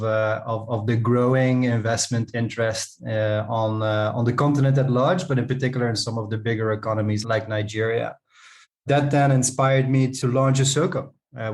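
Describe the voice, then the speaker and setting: A man giving conversational interview answers, often pausing and repeating words as he goes. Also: mid-range voice, darkish tone. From Netherlands. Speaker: guest, company co-founder and CEO.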